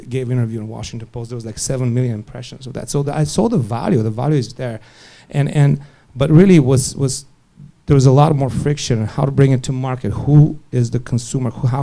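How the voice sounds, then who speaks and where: Middle-aged male physician panelist, gravelly dark tone, halting delivery. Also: mid-range voice. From Bulgaria. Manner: halting, many restarts and fillers. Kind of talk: panel discussion storytelling.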